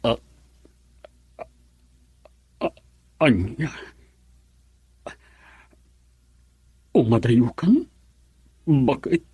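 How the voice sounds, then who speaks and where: A man's voice: mid-range voice, wildly swooping pitch, drawn-out words, dark tone, slightly rough, dry recording, full character voice. A man acting as a sentimental, fretful husband in an Ilocano radio drama. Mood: upset. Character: sentimental husband fretting over wife leaving for abroad.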